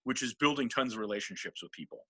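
Middle-aged guy, bit husky, bright forceful tone, rambles and laughs a lot. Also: mid-range voice, animated, fast talker, no room sound. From Seattle, USA. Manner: rambling, self-deprecating, laughs often. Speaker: guest. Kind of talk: casual interview talk.

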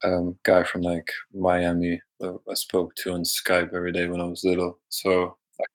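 A young man from Denmark with a mixed Danish, American and British accent, talking casually and haltingly as a guest. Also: deep voice, completely flat delivery. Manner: halting, searching for words. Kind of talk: casual chat.

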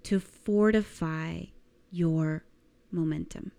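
A woman with a slightly low, smooth voice that has a small croak in it. She coaches at a very slow, deliberate pace, leaning on repetition and rhetorical questions for emphasis. The delivery is that of a motivational talk. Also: warm tone. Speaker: life coach giving a lesson.

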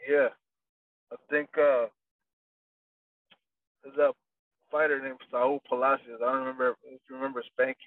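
A man's voice: mid-range voice, little pitch movement, moderate pace, pauses often, dry recording. A man who trains boxers, talking casually in an interview and rambling with lots of fillers and restarts. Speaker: boxing trainer. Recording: dry, distant, phone-like audio.

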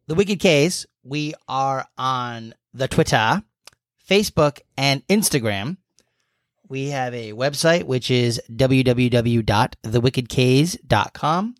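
A man's voice: mid-range voice, animated, takes it slowly, dry recording, studio quality. A man co-hosting a casual podcast, chatty and teasing, laughing easily and rambling with fillers. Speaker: podcast co-host.

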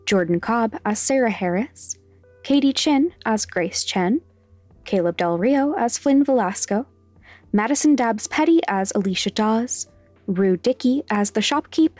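A woman's voice: fairly high pitch, darkish tone, no room sound. Dry-recorded woman reading podcast credits. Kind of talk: scripted credits narration. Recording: dry studio voice over music. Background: faint music.

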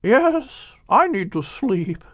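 A man voicing a gentle, tired male dove who speaks softly and with wonder. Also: sits high, big pitch swings, slow, no room sound, studio quality, voice pushed up, heavily acted.

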